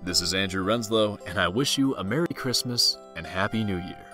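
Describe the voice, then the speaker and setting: A man's voice: on the low side, a little fast, bright, forceful tone. An American man announcing in a showy, old-time radio style, reading a scripted sign-off and credits. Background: plucked guitar music.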